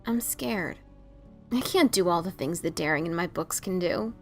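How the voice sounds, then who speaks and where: A woman's voice: fairly low pitch, normal speed, soft background music, natural voice. A woman playing a brash but self-doubting pegasus pony adventurer, with hesitant, stammering, casual delivery in an audio drama. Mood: anxious, confessing. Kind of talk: audio drama dialogue of fan fiction.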